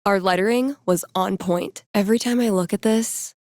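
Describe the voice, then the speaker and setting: A woman's voice: medium pitch, moderate pace, darkish tone, dry recording, close to an everyday voice. A woman playing a wry young record store worker and nerdy musician in a video game, talking casually to herself with dry sarcasm. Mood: fond, wistful. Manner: casual, dryly sarcastic, with sighs and playful asides. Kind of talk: video game character thinking aloud.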